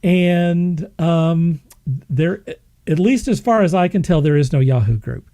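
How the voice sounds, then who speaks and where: A woman hosting a podcast, asking questions in a casual, halting, measured way. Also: fairly low pitch, mellow, no room sound, studio quality. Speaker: podcast host. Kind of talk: casual interview chat.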